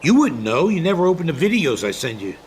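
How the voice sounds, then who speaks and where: Animated, wounded joker of an American dad. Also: male voice, on the high side, quick pace, poor audio. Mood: wounded, defiant. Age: middle-aged. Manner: needling, defensive, theatrically aggrieved. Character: joking, wounded, stubborn middle-aged dad. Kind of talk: comedic audio drama acting. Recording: faint background hiss.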